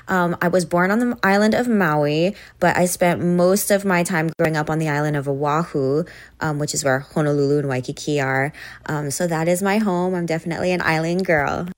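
Young woman from hawaii, singer guest, soft-spoken, hesitant, slightly low voice. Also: moderate pace. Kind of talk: casual interview chat.

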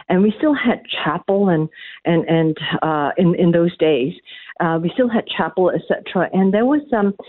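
A woman's voice: on the low side, no room sound. A middle-aged woman from Malaysia, an academic guest answering interview questions, hesitant with many restarts. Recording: tinny phone line.

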